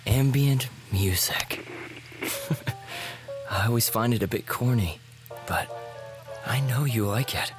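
An actor playing an obsessive, volatile male captor who swings from soft murmurs to screaming rage. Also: mid-range voice, slow pace, pauses often, over music, close to an everyday voice. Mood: tender. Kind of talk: horror monologue acting.

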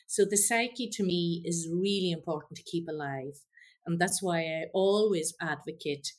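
A woman, a former teacher, talking earnestly and passionately as a podcast guest. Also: fairly low pitch. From Ireland. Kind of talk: casual conversation.

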